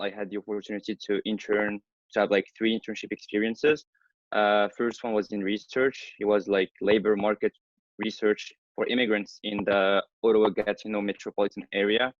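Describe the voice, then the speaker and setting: A young man from Morocco speaking as a guest on a radio show, modest and relaxed. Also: fairly low pitch, dry recording, lo-fi sound. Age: young adult. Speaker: guest, recent graduate. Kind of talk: casual chat.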